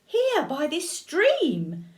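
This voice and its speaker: Storybook mouse, small and quick-witted, squeaky and bold, put on by a grandmother reading aloud. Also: huge pitch swings, heavily acted. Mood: boastful.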